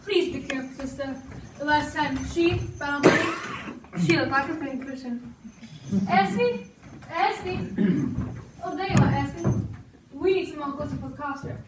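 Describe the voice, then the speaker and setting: A woman's voice: sits high, normal speed, pauses often, natural voice. A woman playing an outspoken, fussy frontier sister in a stage melodrama, projecting emphatically. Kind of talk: theatrical stage melodrama acting. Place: indoor small school stage before an audience. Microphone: far camera. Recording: distant echoey stage, loud room noise.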